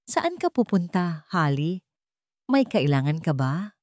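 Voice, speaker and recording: A woman voicing an elderly housekeeper in a story, calmly menacing and bitter. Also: on the low side, unhurried, dry recording, clean studio sound. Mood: calm menace. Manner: calm, cold delivery.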